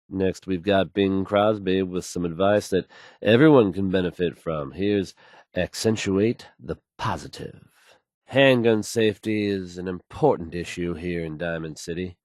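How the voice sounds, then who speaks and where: An actor playing a confident radio DJ in a game, announcing with polished, assured delivery. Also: male voice, lowish voice, no echo, clean studio sound. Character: confident, smooth male radio DJ and newsreader. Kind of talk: radio song introductions and news reading.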